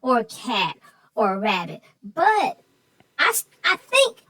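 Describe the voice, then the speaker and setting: A woman with a high voice chats about a children's book as a co-host. Her intonation jumps around playfully, and she leans into her puzzlement at an unhurried pace. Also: wildly swooping pitch, pushed and bright, dry recording. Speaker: co-host of children's story show. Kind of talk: conversational book discussion.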